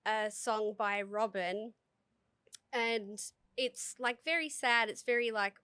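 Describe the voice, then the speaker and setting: A woman's voice: fairly high pitch, slow pace, sharp tone, clear, resonant tone, no room sound. A woman from Australia, a stage performer, chatting openly and swearing freely as a podcast guest. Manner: frank, swears freely, laughs often. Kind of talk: casual interview chat.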